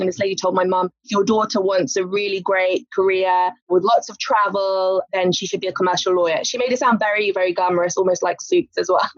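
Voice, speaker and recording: A chatty woman in her thirties, speaking as an interview guest with easy laughs and lots of fillers. Her voice sits at a middle pitch. The recording is dull and muffled, with no room sound at all. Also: dry recording. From England. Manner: chatty, with light laughs and frequent "you know" fillers. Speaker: lawyer and podcast guest. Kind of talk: casual interview conversation. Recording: muffled, dry podcast audio.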